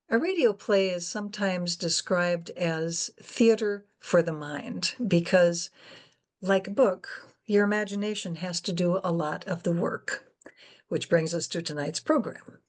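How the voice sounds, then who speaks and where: An older woman hosts an interview with a fairly low voice. She introduces her guest and puts questions as a moderator does.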